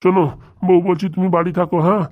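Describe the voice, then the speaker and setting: A man's voice: high-pitched, flat delivery, racing, narrow, tinny audio, lightly acted. A man voicing a harried, coaxing village husband in a comedy cartoon. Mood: reassuring. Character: harried village husband and father-to-be, soothing his wife. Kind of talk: dubbed comedy cartoon dialogue.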